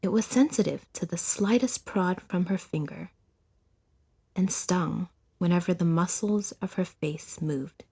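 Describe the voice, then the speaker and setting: A woman reading scripted literary narration for an audiodrama in a measured, deliberate storytelling manner. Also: fairly low pitch, warm tone, slightly rough.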